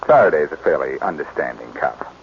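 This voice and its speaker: An actor playing a breezy, wisecracking reformed safecracker in a 1940s radio drama. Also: male voice, very deep voice, expressive, fairly quick. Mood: charming. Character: wry, cocky male reformed thief. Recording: dull, lightly hissy old radio broadcast.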